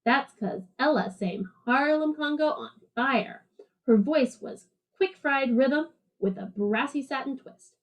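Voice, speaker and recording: A woman reads as a streetwise alley cat who loves jazz, telling a picture-book story. The voice sits high. The delivery is slangy and rhythmic, with playful emphasis. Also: expressive, slow pace, poor audio. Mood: swinging, enthusiastic. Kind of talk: picture-book read-aloud narration.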